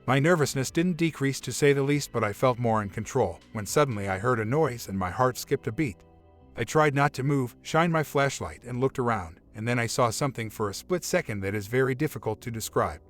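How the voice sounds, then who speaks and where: A man reading a creepy first-person story aloud in a steady, even manner. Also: mid-range voice, fairly quick. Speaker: narrator. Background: soft background music.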